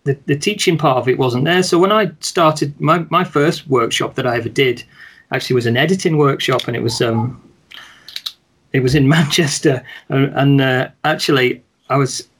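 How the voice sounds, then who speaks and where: A man with a mid-pitched, rather monotone voice speaks as a guest in a relaxed chat. He talks in a measured, hesitant way and often restarts his sentences. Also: breathy edge. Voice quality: somewhat monotone. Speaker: guest, wedding photographer. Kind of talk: casual chat.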